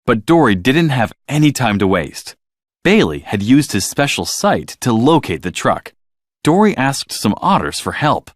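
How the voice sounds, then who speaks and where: Guy narrating a bedtime story for kids, really expressive, pitch moves a lot, dry clean sound. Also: unhurried, no echo. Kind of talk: scripted children's story narration.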